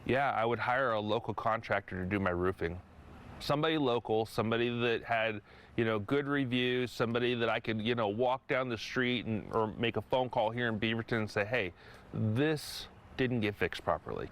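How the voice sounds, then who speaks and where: A man's voice: fairly low pitch, normal speed, a bit bright. A middle-aged man speaking casually as a homeowner being interviewed about his own experience.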